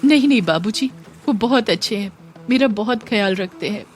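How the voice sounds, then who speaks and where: A woman's voice: mid-range voice, expressive, moderate pace, soft background music, lightly acted. A woman voicing a meek, devoted young blind bride in a dramatized moral story, speaking with deferential pleading. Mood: gentle, devoted.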